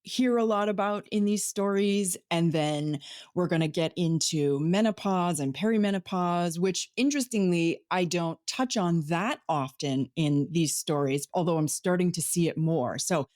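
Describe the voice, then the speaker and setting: Encouraging woman host, low voice. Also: normal speed, no echo, studio quality. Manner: warm, encouraging, prompting with follow-up questions. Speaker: podcast host. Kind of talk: interview hosting.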